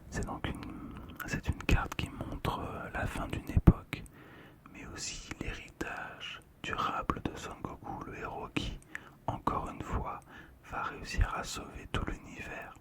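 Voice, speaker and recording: An ASMR host whispers softly, right up at the microphone. The delivery is breathy, very slow and gentle, made to soothe the listener. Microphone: close ASMR microphone, monitored on headphones.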